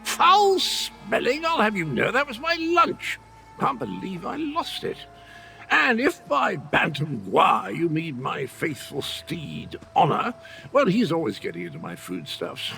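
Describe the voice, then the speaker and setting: An actor voicing an eccentric, befuddled and cheerful old knight in a video game, with a British accent and a theatrical, whimsical, rambling manner. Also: male voice, sits high, wildly swooping pitch, measured pace, gravelly, sharp tone, soft background music, heavily acted. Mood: indignant, jaunty. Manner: theatrical, whimsical, rambling with archaic genteel slang. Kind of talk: video game character dialogue.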